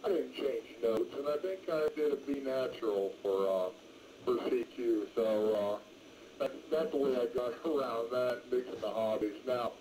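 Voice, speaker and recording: A man's voice: medium pitch, mellow. An older man chatting casually as an amateur radio operator, with a rambling, folksy way of talking. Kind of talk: casual ham radio chat. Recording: noisy amateur radio transmission.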